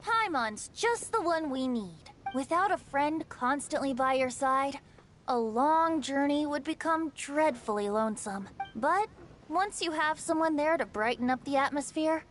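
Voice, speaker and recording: A woman's voice: high-pitched, animated, a little slow, soft background music. A woman voicing a refined, gracious young woman in a video game, with formal, poetic phrasing. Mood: gracious, sincere. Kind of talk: video game character acting.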